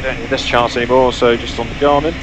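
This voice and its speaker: Male pilot, thirties, mid-pitched, matter-of-fact commentary on a headset with heavy aircraft noise behind. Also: little pitch movement, fast. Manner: clipped, procedural, matter-of-fact. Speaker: pilot and flight vlogger. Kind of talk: cockpit commentary and radio readbacks. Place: small private jet cockpit. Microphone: aviation headset. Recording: loud aircraft cockpit noise. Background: loud aircraft engine and cabin noise.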